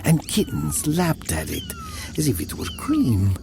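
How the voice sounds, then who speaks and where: A man narrating a first-person horror story dramatically, in a hushed, deliberate, often whispery manner. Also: a little high, huge pitch swings, creaky, background noise.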